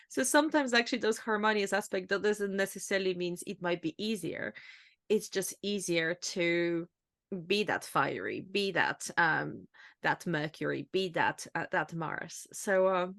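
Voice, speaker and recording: A woman's voice: medium pitch, a bit bright. A woman from Poland with a Polish accent, co-hosting a podcast in a casual, reflective conversational style. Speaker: astrology podcast co-host.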